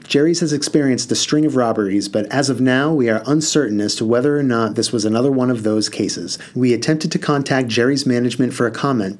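A formal TV news anchor, read by a man, neutral and measured. He keeps an even broadcast delivery close to his own voice. It is heard in an audiobook. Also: mid-range voice, close to an everyday voice.

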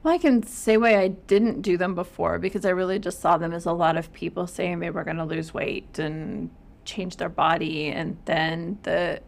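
A middle-aged woman co-hosting a podcast, talking in a reflective, measured way. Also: lowish voice, fairly even intonation, gravelly. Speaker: podcast co-host, counselor. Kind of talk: casual podcast chat.

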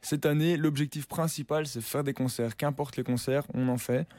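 A man's voice: mid-range voice, moderate pace, no echo. A young man, a musician chatting casually in an interview, loose and slangy with lots of fillers. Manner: relaxed slangy talk with many fillers and restarts. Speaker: musician guest.

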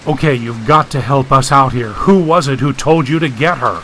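A man's voice: mid-range voice, normal speed, bright, forceful tone, slight background noise, natural voice. A man voicing a weary, irritable man in an audiobook, questioning curtly and impatiently. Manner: curt, tense questioning.

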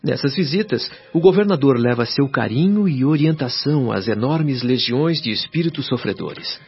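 Husky, lively young spirit guide. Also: male voice, medium pitch, moderate pace. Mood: instructive, gentle. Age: young adult. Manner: formal, explanatory. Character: kindly instructive young male spirit helper. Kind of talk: radio drama acting. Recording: dull lo-fi radio drama recording.

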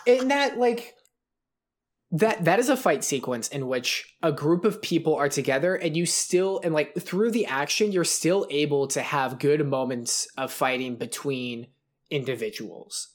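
Podcast co-host, mid-pitched voice, casual, rambling film critique. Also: expressive, a little slow. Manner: analytical, rambling with fillers. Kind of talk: casual film review chat.

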